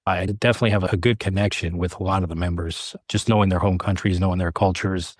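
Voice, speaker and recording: A man in his late thirties with a low-pitched voice recounts his own path as an interview guest. He speaks earnestly, laying out his story in short, measured phrases. The sound is dry, with very little room around the voice. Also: fairly even intonation, dry recording. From California, United States. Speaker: air traffic controller, podcast guest. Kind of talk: interview storytelling, personal career account.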